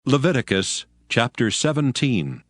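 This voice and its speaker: A man narrating a dramatized scripture reading in a solemn, measured manner. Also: medium pitch, sing-song, a bit bright. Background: faint music.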